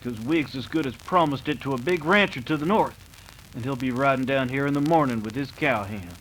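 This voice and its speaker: A man's voice: medium pitch, brisk, fairly bright tone, a little hiss or hum, natural voice, rough recording. A man playing an earnest, defiant young American cowboy in an old-time radio western.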